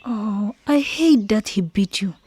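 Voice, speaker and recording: A woman playing a gentle, anxious young village woman and caring friend in a radio drama, speaking softly and earnestly. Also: medium pitch, expressive, slow, slightly rough. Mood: troubled, afraid. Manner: soft, earnest.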